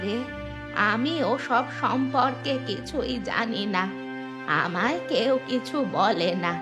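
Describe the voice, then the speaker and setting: A woman voicing an aggrieved, resigned old village grandmother in a cartoon, complaining slowly about her lot. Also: fairly high pitch, animated, over music. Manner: slow, complaining, fatalistic. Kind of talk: cartoon voice acting.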